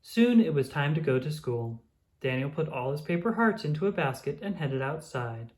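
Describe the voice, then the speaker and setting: A children's read-aloud narrator telling a picture-book story. The delivery is highly expressive, with pitch rising and falling freely, and it is clearly aimed at young listeners. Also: normal speed.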